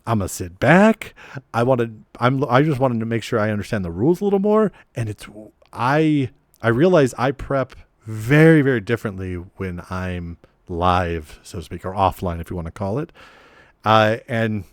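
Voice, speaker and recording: Low-voiced man chatting on a podcast. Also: normal speed, no room sound, studio quality. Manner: animated, self-deprecating, with frequent restarts and laughs. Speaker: podcast co-host and game master. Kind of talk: casual podcast chat.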